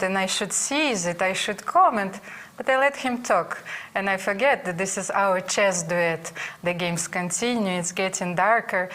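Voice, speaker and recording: A woman's voice: mid-range voice, fairly bright tone. A woman commentator speaking to her co-host and reading out a homemade rhyming poem. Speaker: chess broadcast commentator.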